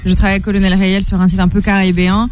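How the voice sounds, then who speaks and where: A woman with a slight American accent, a pop singer speaking softly and hesitantly as a radio guest. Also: mid-range voice, little pitch movement, racing, soft background music. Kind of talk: casual radio interview.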